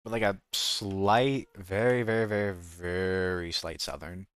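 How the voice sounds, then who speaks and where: A man's voice: low voice, fairly even intonation, measured pace, clean tone, dry recording. A man with an American accent chatting casually as a game streamer, rambling and jokey, often correcting himself mid-thought. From United States. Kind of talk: casual livestream chat.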